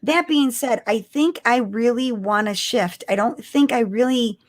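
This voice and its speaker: A woman's voice: on the high side, unhurried. Emphatic woman chatting as an eager fan. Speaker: podcast co-host. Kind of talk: casual fan chat on a live show.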